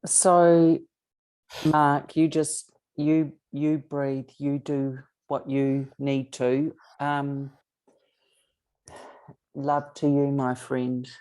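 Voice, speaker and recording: Kiwi woman host, low voice, unhurried, kind and tentative. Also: flat delivery, poor audio. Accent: New Zealand. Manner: soft, supportive, hesitant restarts. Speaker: podcast host. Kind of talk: gentle interview chat.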